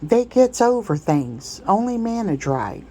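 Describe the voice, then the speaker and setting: A woman's voice: medium pitch, sing-song, measured pace, dark tone, slight background noise, pitched above the performer's own voice. Woman voicing a pushy, folksy male slave trader. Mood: smooth persuasion. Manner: folksy rustic dialect, blustering and familiar. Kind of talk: storybook read-aloud character.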